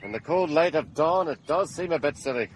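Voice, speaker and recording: An actor as a homely working man of middle age, with a very mobile pitch. He talks colloquially, brushing worries aside and turning deferential with strangers, in a radio play with some background noise. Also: expressive, fairly quick, natural voice. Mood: offhand. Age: middle-aged. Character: working-class father and husband, homely, uneasy, dismissive. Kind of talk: naturalistic radio drama acting.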